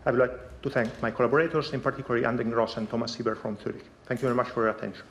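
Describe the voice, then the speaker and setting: A man presenting a scientific conference talk in a lecture hall, measured and pausing often with ums. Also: mid-range voice, steady tone, fairly bright tone, slight background noise. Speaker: conference speaker.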